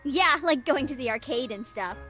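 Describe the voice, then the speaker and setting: Actress doing a high girly voice, blunt excitable young friend in an anime game, music behind. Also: moderate pace. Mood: blunt, eager. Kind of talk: anime-style visual novel game acting.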